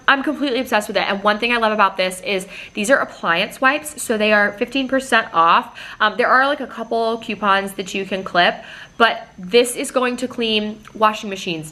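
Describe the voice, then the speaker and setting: A woman's voice: medium pitch, pushed and bright. A woman in her thirties, slightly nasal from a recent cold, chatting enthusiastically through product recommendations with lots of casual fillers. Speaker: shopping deals vlogger.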